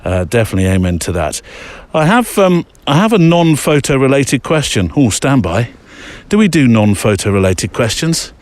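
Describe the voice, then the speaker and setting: A man's voice: mid-range voice, very animated, normal speed, a little mellow, dry recording. Rambling male podcast host walking outdoors. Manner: rambling, with frequent ums and restarts. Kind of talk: casual spoken monologue. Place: outdoors in a snowy mountain area.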